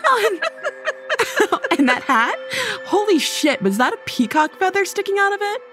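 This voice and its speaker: A woman's voice: extremely high pitch, very animated, moderate pace, over music. A woman playing a breezy, persuasive, gossipy young college friend in an audio horror drama.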